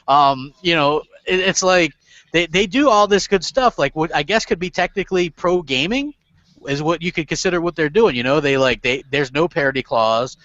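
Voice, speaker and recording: A man's voice: fairly high pitch, lively intonation, moderate pace, fairly bright tone, no room sound, lo-fi sound. A man hosting a casual gaming podcast, rambling with lots of fillers and restarts and casual swearing. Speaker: podcast host.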